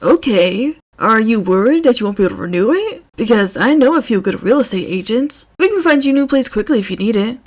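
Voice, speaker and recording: Woman as a loud, upbeat, caring guy friend. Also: fairly high pitch, a little fast, few pauses. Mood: earnest concern. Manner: energetic, emphatic, casual. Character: loud, upbeat, caring grown man, teasing best friend.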